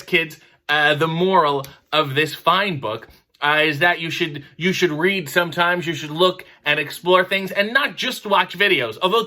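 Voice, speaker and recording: A man's voice: sits high, pushed and bright. A man hosting a picture book reading, breaking off into wry, mock-critical commentary. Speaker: storyteller host.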